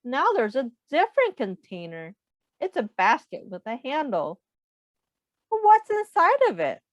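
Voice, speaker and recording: Slow, lively woman reading to children. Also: high-pitched, dry recording. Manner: simple, patient explaining with prompting questions. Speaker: early childhood teacher reading aloud. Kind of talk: picture book read-aloud with questions to young children.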